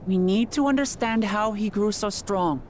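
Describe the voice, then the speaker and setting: A woman's voice: medium pitch, normal speed, darkish tone, a little hiss or hum, close to an everyday voice. A woman voicing a frank, open-hearted young warrior princess in a fantasy video game, direct and earnest. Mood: earnest, resolute.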